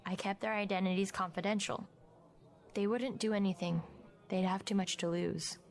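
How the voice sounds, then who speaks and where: A woman's voice: mid-range voice, steady tone, normal speed, darkish tone, close to an everyday voice. An actress playing a composed, stubborn young woman journalist in naturalistic audio drama dialogue. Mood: composed, guarded.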